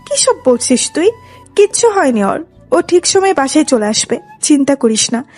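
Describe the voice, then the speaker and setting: Woman acting a high-voiced chiding elder sister. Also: very animated, moderate pace, a little mellow. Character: bossy teasing elder sister, young bride-to-be. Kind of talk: dramatised love story narration. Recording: music behind the voice.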